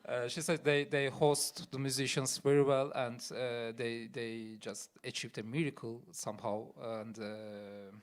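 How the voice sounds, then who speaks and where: Halting Turkish-accented man. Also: mid-range voice, level tone. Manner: halting, many fillers and restarts. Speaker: musician. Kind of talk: panel Q&A answers.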